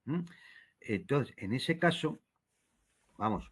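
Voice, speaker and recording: A man's voice: medium pitch, animated, measured pace. A man speaking as a guest analyst, giving conversational political commentary.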